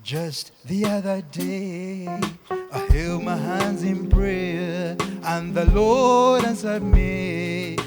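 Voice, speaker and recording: A man's voice: high voice, drawn-out words, loud music behind the voice. A man with a Ugandan accent performing live spoken word poetry, chanting and singing with theatrical repetition.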